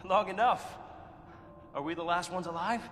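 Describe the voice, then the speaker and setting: A man's voice: high voice, moderate pace, a bit bright, a little hiss or hum. A man playing a cynical, foul-mouthed, desperate prisoner in a video game, sardonic in manner. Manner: sardonic, profane. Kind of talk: video game cutscene acting. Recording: long hollow reverb.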